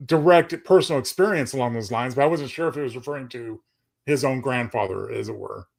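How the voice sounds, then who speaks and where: A man's voice: mid-range voice, fairly quick, gravelly. A man co-hosting a show in casual chat, working things out aloud a little tentatively. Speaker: show co-host.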